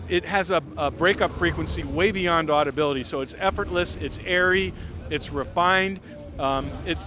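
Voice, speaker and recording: A man's voice: fairly high pitch, background noise. A man presenting products off the cuff as a company representative, with an enthusiastic, salesy delivery. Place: trade show. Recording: music behind the voice.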